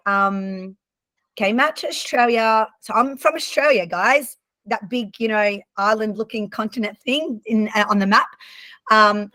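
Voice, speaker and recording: A woman's voice: medium pitch, pushed and bright, no room sound. A woman in her forties, born in Lebanon with Arabic and French as first languages, chatting candidly as a podcast guest with frequent ums and restarts. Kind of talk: casual conversational storytelling.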